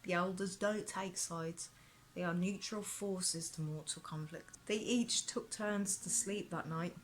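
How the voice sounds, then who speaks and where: A woman author reading her own fantasy novel aloud as expressive audiobook narration. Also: lowish voice, measured pace, slight background noise, low-quality recording. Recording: prominent music behind the narration. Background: music.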